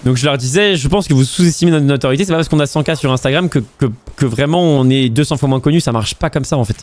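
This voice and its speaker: A man's voice: mid-range voice, fast talker. A man hosting a stream, chatting casually while he plays a game, rambling with repeated words and fillers and cursing freely. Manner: swears casually. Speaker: streamer host. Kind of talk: casual chat while gaming.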